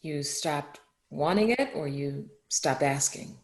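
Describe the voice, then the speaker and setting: A woman reading the part of a caring, playful mentor in a screenplay table read, speaking supportively and deliberately. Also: low-pitched, lively intonation, exaggerated voice. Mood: gently probing. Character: encouraging, playful mentor called Doc, steady and caring.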